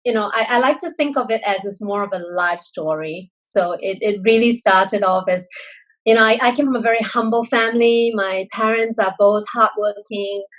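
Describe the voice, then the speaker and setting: Woman, forties, from Singapore, earnest and reflective, restarts often, mid-pitched voice. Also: normal speed, a bit bright, low-quality recording. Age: 40s. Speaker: interview guest. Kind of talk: candid personal storytelling.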